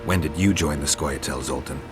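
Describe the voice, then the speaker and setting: An actor playing a gruff, stoic monster hunter in a fantasy game, terse and brooding. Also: male voice, very deep voice, a little fast, music in the background. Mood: wary, businesslike. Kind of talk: cinematic fantasy video game acting.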